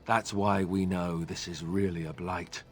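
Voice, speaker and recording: An actor playing a wry, self-deprecating young warrior in a video game, talking in an easygoing, joking way. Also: male voice, sits low, level tone, unhurried, soft background music. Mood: reassuring. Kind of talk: video game cutscene dialogue.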